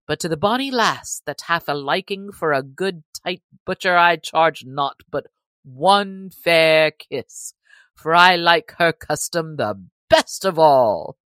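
A woman's voice: low-pitched, animated, slow pace, a bit bright, dry recording, full character voice. A woman voicing a jolly, bold young male outlaw posing as a butcher, speaking merrily in archaic storybook English. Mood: lusty, hawking. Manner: merry, lusty street-hawker calls.

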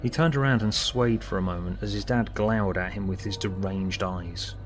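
A man's voice: sits low, normal speed, faint music behind. A man reading scripted story narration as an audiobook narrator. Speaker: author and narrator.

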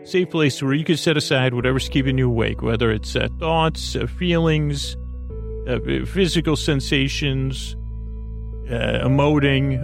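A man hosting a bedtime podcast, talking in a creaky voice and wandering through tangents with many fillers. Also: mid-range voice. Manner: meandering, full of tangents and fillers. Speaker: podcast host. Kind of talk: rambling bedtime podcast intro.

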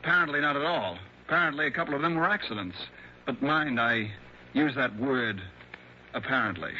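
An actor playing a wry, insistent friend of a detective, pointed and sardonic, in an old radio drama. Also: male voice, medium pitch, animated, a little fast, natural voice. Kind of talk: 1960s radio drama acting. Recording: vintage radio recording, muffled, light noise.

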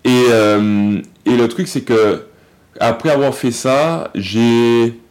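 A man, an athlete guest in a casual podcast interview, talking in a halting way with many fillers and restarts. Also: medium pitch, a little mellow.

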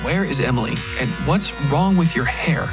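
A man playing an irritable, controlling husband who talks in a curt, scolding way, in a narrated dark fiction story. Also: fairly high pitch, expressive, moderate pace, music in the background, voice pushed up, lightly acted.

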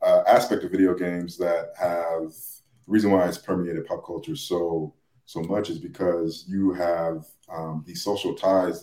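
A man in casual discussion on a podcast, thinking aloud in a rambling, halting way. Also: sits low, mellow. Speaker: podcast panelist. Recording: roomy, echoing sound.

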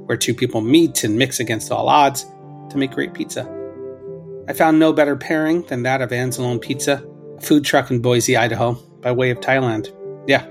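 A man hosting a podcast, narrating a scripted story with a playful, storytelling delivery. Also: medium pitch. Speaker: podcast host. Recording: music bed behind voice. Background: music.

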